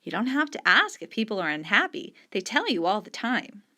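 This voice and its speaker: A woman's voice: medium pitch, big pitch swings, brisk, sharp tone. A woman reading aloud and voicing a tired working mother who dodges her son's questions in a story. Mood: weary. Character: weary, guarded working mother.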